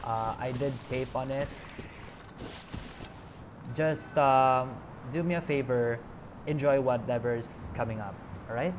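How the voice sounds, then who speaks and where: A male game streamer chats through his session at a slow, unhurried pace, stopping often on fillers. His voice sits at an ordinary middle pitch. He sounds apologetic and a little halting as he talks to viewers. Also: noisy background. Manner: hesitant, many fillers, apologetic. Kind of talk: casual stream commentary.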